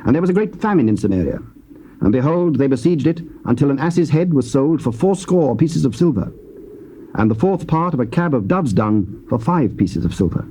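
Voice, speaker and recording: A man narrating scripture in a measured, stately reading. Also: mid-range voice, big pitch swings, mellow. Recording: faint tape hiss.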